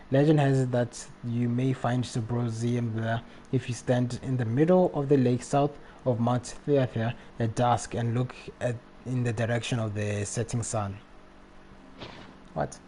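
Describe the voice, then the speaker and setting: A man live streaming a video game, reading text aloud and commenting casually in a halting way. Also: medium pitch, warm tone. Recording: faint background noise, game ambience.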